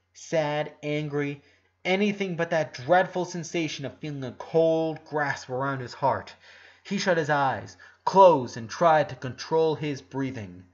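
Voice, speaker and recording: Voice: medium pitch, lo-fi sound. A narrator giving a dramatic reading of prose fiction.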